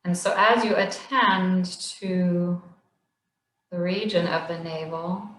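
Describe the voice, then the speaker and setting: Woman, meditation guide, very slow, soft and soothing, low-ish voice, echoey room. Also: fairly even intonation. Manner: slow, gentle, soothing guidance with long pauses. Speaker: meditation instructor. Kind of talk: guided body scan meditation.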